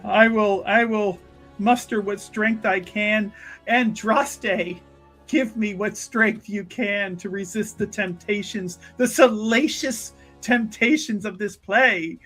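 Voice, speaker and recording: A woman's voice: medium pitch, slow pace, a bit bright, faint music behind. A woman playing a gossipy, playfully scandalized chantry sister in a tabletop roleplaying game, with a theatrical, mock-pious manner.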